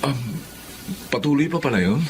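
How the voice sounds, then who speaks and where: A man's voice: mid-range voice, expressive, normal speed, slight background noise, lightly acted. An actor playing a remorseful, pleading male lawyer in a Filipino radio drama. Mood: hesitant, earnest.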